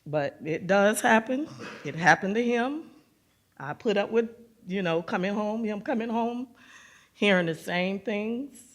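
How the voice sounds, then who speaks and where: A woman's voice: mid-range voice, expressive, measured pace. Woman sharing a personal story. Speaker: audience member, a Navy veteran's wife. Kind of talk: informal remarks at a forum.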